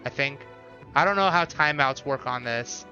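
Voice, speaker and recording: A man's voice: mid-range voice, moderate pace, sharp tone. A man giving casual, offhand live stream commentary, reacting to a game and chat, slightly dull sound with music behind. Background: faint game music.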